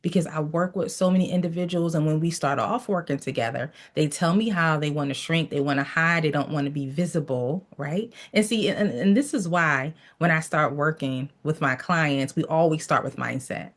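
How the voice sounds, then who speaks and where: Female mindset coach, lowish voice, preachy repetitive delivery, teaching tone. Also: normal speed, hardly any pauses. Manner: preachy, repeats phrases, tells listeners to write things down. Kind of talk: motivational teaching session.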